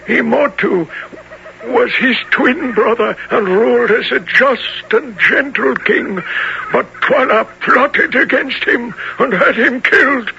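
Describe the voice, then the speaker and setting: A man acting a kindly, mournful old African nobleman in a radio drama, speaking slowly in archaic, formal phrases. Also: very high-pitched, gravelly, pushed and bright, exaggerated voice. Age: older. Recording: dull, noisy old radio broadcast.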